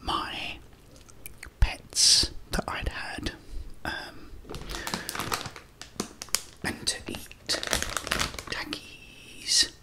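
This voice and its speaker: A man speaking in a breathy whisper, chatting and telling casual stories in a halting way as an ASMR host while eating. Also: sharp tone. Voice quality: breathy, mostly unvoiced whisper.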